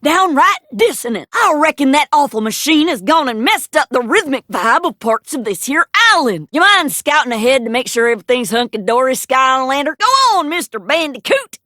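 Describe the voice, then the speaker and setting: An actress playing a folksy, plainspoken adventure guide in a cartoon game, with a drawling American Southern accent. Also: female voice, high-pitched, animated, moderate pace, hardly any pauses, pushed and bright, dry recording, studio quality. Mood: folksy, wary. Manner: folksy, drawling, colourful country sayings. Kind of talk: cartoon video game voice acting.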